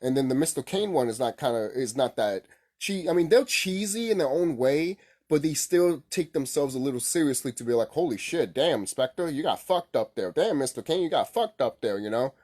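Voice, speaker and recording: Guy doing a gaming vlog, medium pitch, just rambling his opinion, informal and kinda repetitive. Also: animated, normal speed, darkish tone. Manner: informal, repetitive, mild swearing. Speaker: gaming vlogger.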